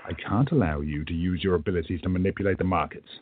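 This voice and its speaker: An actor playing a weary, principled old curmudgeon, a time-travelling bookseller in a radio play, gruff but earnest. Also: male voice, low voice, quick pace, crowd noise, close to an everyday voice. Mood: stern, defiant. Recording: tinny phone-like line.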